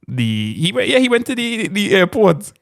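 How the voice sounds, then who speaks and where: A man's voice: sits high, big pitch swings, moderate pace, creaky, dry recording, studio quality. A man from Trinidad with a Trinidadian accent, a podcast host asking questions in a blunt, teasing chat. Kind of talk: casual interview chat. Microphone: studio podcast mic.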